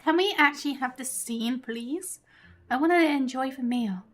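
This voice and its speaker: A woman's voice: high-pitched, normal speed. A woman giving casual live commentary as a gaming streamer. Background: game music.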